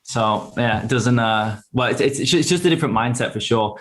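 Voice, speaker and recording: A man hosting a casual interview, relaxed and agreeable, dropping quick affirmations while he listens. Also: medium pitch. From England, raised in Australia. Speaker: interview host, business coach.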